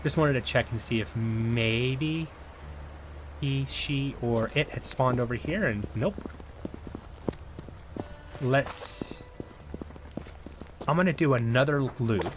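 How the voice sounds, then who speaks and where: A man talking his viewers through a game in a rambling, easygoing way, his voice worn and starting to go. His pitch sits in an ordinary middle range. A steady hiss of noise and mouse clicks runs under the recording. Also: slow, pauses often. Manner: rambling, chatty, talks to viewers while playing. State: losing his voice. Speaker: gaming video host. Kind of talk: casual gameplay commentary.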